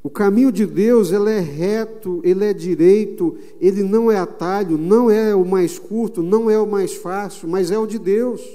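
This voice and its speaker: High-voiced Brazilian pastor preaching in a church hall. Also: male voice, mellow. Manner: emphatic preaching with slow, drawn-out phrases. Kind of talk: sermon. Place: church sanctuary. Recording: long reverb of a church hall.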